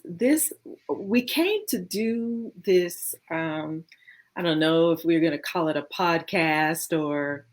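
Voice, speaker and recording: A woman co-hosting a conversation, introducing herself in a reflective, measured way with pauses between phrases. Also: lowish voice. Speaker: co-host, theology scholar.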